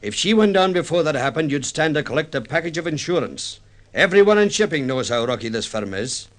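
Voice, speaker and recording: An actor playing a weather-beaten, defiant sea captain in a radio drama, speaking forcefully and accusingly. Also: male voice, a little high, expressive, brisk. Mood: accusing, bitter. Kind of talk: 1950s radio drama acting.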